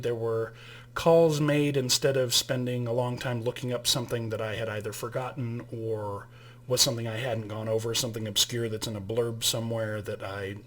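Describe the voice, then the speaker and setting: Man casually explaining game rules. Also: mid-range voice. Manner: relaxed, with fillers and restarts, showing items to camera. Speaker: game master explaining a tabletop game.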